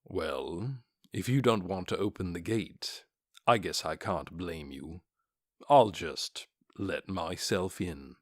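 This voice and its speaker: A man voicing a calm, coolly confident armored warrior in an audiobook, with an unhurried, dismissive manner. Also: deep voice, no room sound, studio quality, pitched below the performer's own voice.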